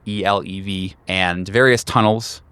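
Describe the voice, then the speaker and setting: A man with a low, smooth voice runs a horror tabletop game. He narrates scenes descriptively and deliberately, breaking his phrases with pauses, in a very dry close recording. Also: steady tone, bright, forceful tone, no echo. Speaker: game master.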